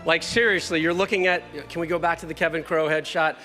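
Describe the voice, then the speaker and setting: A man hosting a ceremony, loud and joking, excitable as he teases an honoree. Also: fairly high pitch, quick pace, faint music behind. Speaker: host.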